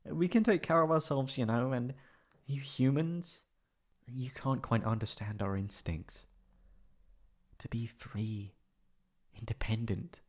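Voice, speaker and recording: A man's voice: mid-range voice, slow, pauses often. A man voicing a proud but insecure, emotional tsundere catboy in an ASMR roleplay, with hesitant, stammering speech. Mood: aloof.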